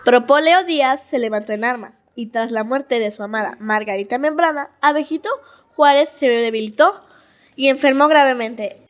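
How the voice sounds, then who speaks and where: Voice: medium pitch, normal speed. A schoolchild with a Mexican accent reading scripted narration in a school audio drama. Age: child.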